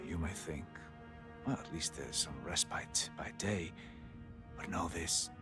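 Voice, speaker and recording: An actor playing a grave, knowing older Norse guide in a video game, speaking in a solemn, measured way. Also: male voice, low voice, over music. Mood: solemn, ominous. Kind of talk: dramatic video game acting.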